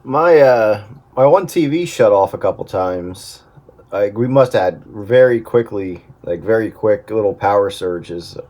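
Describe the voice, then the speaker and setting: Low-voiced man, casual chat over two-way radio with faint noise. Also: expressive, unhurried, a little mellow. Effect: two-way radio transmission. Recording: noisy radio transmission.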